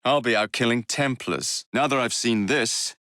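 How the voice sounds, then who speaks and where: A man's voice: mid-range voice, normal speed, fairly bright tone, no room sound, studio quality, close to an everyday voice. A man playing a cocky young Victorian English assassin in a video game, with a wry, cheeky and theatrical English delivery.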